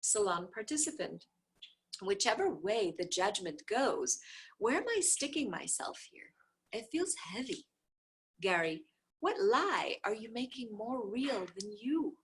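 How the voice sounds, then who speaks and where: A woman reading a dialogue book aloud, speaker labels included, with repeated phrases in an even, steady cadence. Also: a little high, a little slow, breathy edge. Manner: occasional soft offhand asides.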